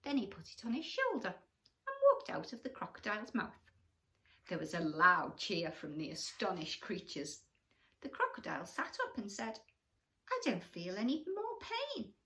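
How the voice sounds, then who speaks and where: Very animated woman reading a bedtime story. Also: on the low side, moderate pace. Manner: addresses young listeners with questions and asides. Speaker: children's storyteller.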